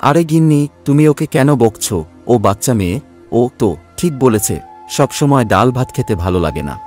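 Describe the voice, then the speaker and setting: A man's voice: mid-range voice, animated, brisk, a little mellow, faint music behind, close to an everyday voice. A man playing a hardworking poor vegetable farmer and doting father in a cartoon, earnest and good-natured. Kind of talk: Bengali cartoon voice acting.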